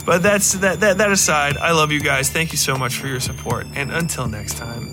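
A man's voice: on the high side, moderate pace, over music. A man hosting a podcast, talking casually in a rambling, self-deprecating way. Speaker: podcast host.

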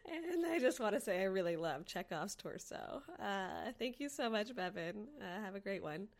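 A woman's voice: medium pitch, animated, normal speed, creaky, slight background noise. A woman giving casual, enthusiastic commentary with an appreciative manner.

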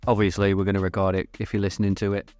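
A man's voice: sits low, monotone, rapid-fire, a little mellow, soft background music. A man, co-hosting a podcast in casual chat, rambling and laughing often. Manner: chatty, laughs often, trails off mid-sentence. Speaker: podcast co-host. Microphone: podcast mic.

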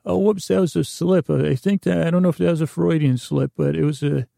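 A man hosting a podcast with a rambling, comedic bedtime monologue, meandering and halting as he restarts sentences and voices imagined dialogue, in a gravelly voice. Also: on the high side, fairly even intonation, dark tone, dry recording, studio quality. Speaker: podcast host.

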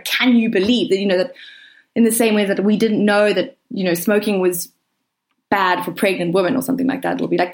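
A woman's voice: mid-range voice, a little mellow. A woman with a South African accent talking earnestly and emphatically in a conversational interview, often padding her points with hedging phrases. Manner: earnest, emphatic, hedging with frequent "you know" and "kind of". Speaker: interview guest, writer. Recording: roomy, echoey sound.

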